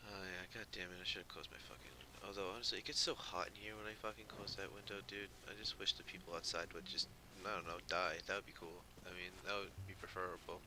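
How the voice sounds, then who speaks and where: A man's voice: sits low, little pitch movement, fast, few pauses. A man doing casual live game commentary as a streamer, slangy and profane, with a grumbling, sardonic manner. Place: room at home with an open window. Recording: clearly noisy room recording. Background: background noise.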